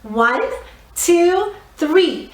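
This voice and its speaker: A woman teaching a theatre warm-up to young children, giving encouraging, clearly phrased step-by-step instructions. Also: very high-pitched, animated, very slow. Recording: roomy echo, distant microphone.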